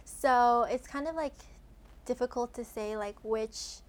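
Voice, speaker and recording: Young woman, slightly high voice, soft and unsure, casual chat. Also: unhurried. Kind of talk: casual conversation.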